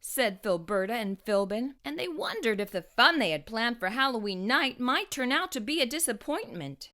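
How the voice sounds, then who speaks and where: A woman reading a children's picture book aloud as an expressive narrator. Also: on the high side, normal speed. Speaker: children's story reader.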